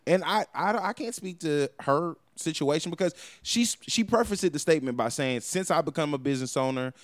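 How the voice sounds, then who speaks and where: A man's voice: a little high, lively intonation, normal speed, no room sound. A man hosting a podcast in casual chat, telling stories animatedly and swearing freely. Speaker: podcast host.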